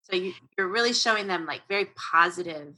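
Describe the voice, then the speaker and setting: A woman's voice: on the low side, brisk, sharp tone, low-quality recording. A woman hosting an interview, engaged and thoughtful, summing up her guest's points. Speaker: podcast host and interviewer. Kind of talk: conversational interview.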